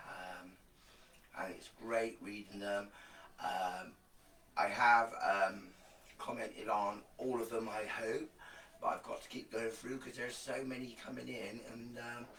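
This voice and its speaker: A man with a low, groggy voice talks loosely to his live viewers. He rambles, stacks fillers and repeats words for emphasis. He sits back from the microphone in a roomy, echoey space. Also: fairly bright tone, far from the mic, slight background noise. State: groggy voice after illness. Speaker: live streamer promoting an online program. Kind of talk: casual live video chat to viewers.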